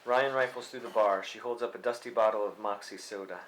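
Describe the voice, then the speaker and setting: Low-voiced man reading stage directions. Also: steady tone, brisk, fairly bright tone, low-quality recording. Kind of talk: scripted narration, screenplay table read.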